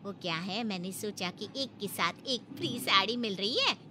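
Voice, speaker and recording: A woman's voice: medium pitch, normal speed, very noisy, voice pushed down, lightly acted. A woman voicing a cheerful, chatty mother from a village in a cartoon story, gushing and eager. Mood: eager, placating. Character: cheerful, eager village mother visiting her married daughter.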